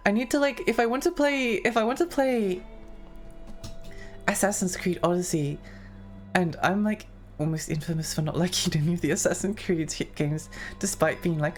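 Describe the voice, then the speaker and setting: A young woman streaming and chatting with her viewers in a rambling, giggly way, her voice a little worn. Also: on the low side. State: losing her voice. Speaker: livestreamer reading chat. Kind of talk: casual chat with viewers.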